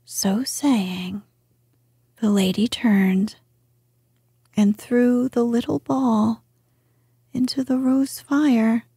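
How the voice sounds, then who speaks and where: A woman narrating a storybook in a soft whisper. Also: fairly high pitch, fairly even intonation, drawn-out words, pauses often, slightly rough, dry recording.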